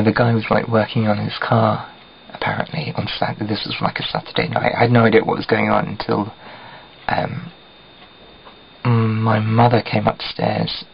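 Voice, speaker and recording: A young man from Britain talks casually to camera with a low, gravelly, croaky voice. He rambles along and keeps dropping into half-whispers. The home video sounds dull and lo-fi, with a faint hiss behind him. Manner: rambling, chatty, drops to whispers. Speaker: vlogger. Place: at home by a window.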